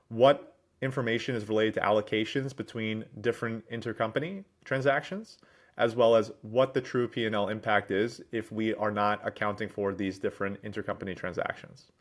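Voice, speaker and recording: Low-voiced man presenting a software demo. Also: brisk. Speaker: presenter, managing director at a software consultancy. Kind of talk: software demo walkthrough, explanatory screen-share narration.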